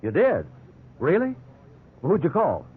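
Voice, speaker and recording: A man's voice: a little high, wildly swooping pitch, takes it slowly, exaggerated voice. An actor playing an earnest, indignant ordinary man wrongly held by police, in 1950s radio drama style. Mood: anxious. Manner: earnest, aggrieved. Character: indignant, wrongly jailed ordinary man telling his story. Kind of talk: 1950s radio drama acting with first-person narration. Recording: tinny, noisy old radio recording.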